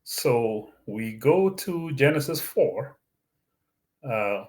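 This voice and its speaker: Slow-speaking man, Arabic native speaker. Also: medium pitch, very animated, a little mellow. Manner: slow, deliberate, with frequent fillers and restarts. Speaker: YouTube channel host. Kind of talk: Bible teaching monologue.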